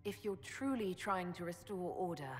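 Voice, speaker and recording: A woman's voice: on the low side, animated, normal speed, natural voice. An actress playing an earnest woman hero under accusation in a fantasy game. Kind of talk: dramatic fantasy game acting. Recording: long reverb of a large hall.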